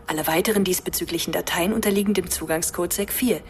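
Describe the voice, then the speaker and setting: An actress playing a polite, matter-of-fact computer terminal in a science fiction radio drama, speaking in formal, measured phrases. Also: female voice, fairly low pitch, a little mellow, slight background noise, natural voice. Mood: formal, helpful.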